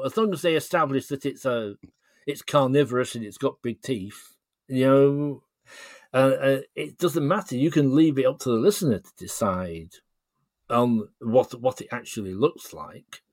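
A man's voice: medium pitch, measured pace, a little mellow, no room sound. A man chatting as an interview guest, explaining things in a thoughtful, hesitant way. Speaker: podcast guest, writer. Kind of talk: casual interview chat.

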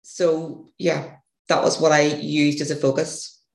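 A woman with a gravelly, hoarse voice, a podcast guest telling a rambling story and correcting herself as she goes. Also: sits low, little pitch movement, slow. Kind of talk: casual interview storytelling. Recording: echoey room.

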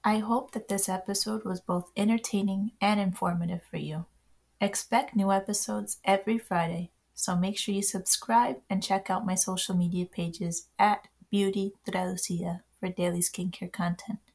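Woman reading a gravelly podcast outro. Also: medium pitch, steady tone, moderate pace, darkish tone. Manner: reads a sign-off announcement. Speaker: podcast host. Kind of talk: scripted outro.

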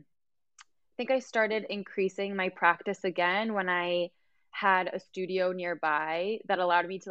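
A woman's voice: lowish voice, fairly bright tone, no echo, muffled recording. A woman in her thirties from Mexico, a guest telling her story earnestly, with many fillers and restarts. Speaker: guest, yoga teacher and life coach. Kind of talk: casual interview conversation.

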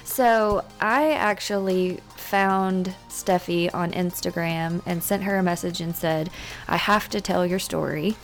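Lower-voiced Southern woman hosting an interview. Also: fairly even intonation, a little slow, faint music behind. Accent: Alabama Southern. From Alabama. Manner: friendly, warm, laughs along. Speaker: podcast host.

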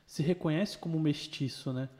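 A Brazilian man hosts a casual conversation in a slightly high voice. He is agreeable and halting, correcting himself as he goes, and the sound carries a long room reverb. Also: a little mellow. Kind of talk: casual chat.

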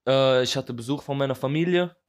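A man's voice: mid-range voice, brisk. Rambling, slangy young man. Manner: slangy, rambling, trails off in fillers. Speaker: podcast co-host. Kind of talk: casual chat.

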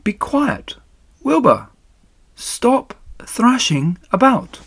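A man voicing a calm, motherly female spider in a children's story, weak and dying but gently firm and measured. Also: extremely high pitch, gravelly, breathy edge, dry recording, studio quality, voice pushed up, full character voice.

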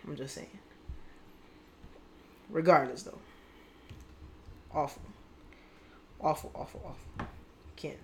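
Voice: medium pitch, takes it slowly, vocal fry, pauses often, noisy background. Profane slangy young vlogger. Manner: profane, slangy, candid rambling. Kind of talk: casual storytelling to viewers.

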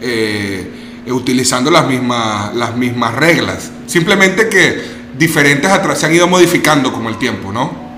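A man's voice: mid-range voice, expressive, moderate pace, bright, forceful tone, echoey room, a little hiss or hum. A man from Venezuela, a guest in a casual interview, talking in a rambling way with many restarts and tag questions.

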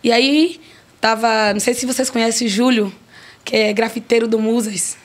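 Woman, 40s, podcast guest, higher voice, playful emphatic storyteller. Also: moderate pace. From Brazil. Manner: lively, joking, emphatic storyteller. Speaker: restaurant owner guest. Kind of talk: casual podcast interview.

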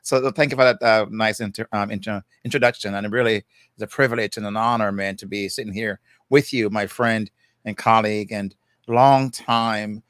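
A man's voice: lowish voice, fairly even intonation, a little fast, a bit bright, smooth, dry recording. An older man with a Jamaican accent, a guest therapist talking in a chatty, easygoing way. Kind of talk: casual interview talk.

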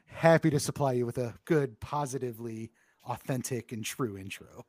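A man's voice: mid-range voice, normal speed, darkish tone, no room sound. A man hosting a casual sports podcast who introduces segments and disagrees bluntly with his wry humor. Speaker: podcast host.

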